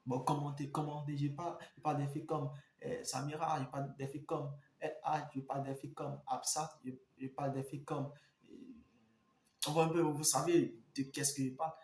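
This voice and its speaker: A young man telling a story in a casual vlog, animated and repetitive, speaking straight to his viewers. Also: a little high, moderate pace, roomy sound.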